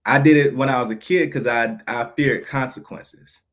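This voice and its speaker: Man, thirties, unscripted vlog monologue, frank, aggrieved, repeats himself, middle pitch. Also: normal speed, fairly bright tone. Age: 30s. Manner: frank and aggrieved, frequent restarts and repeated phrases. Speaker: vlogger.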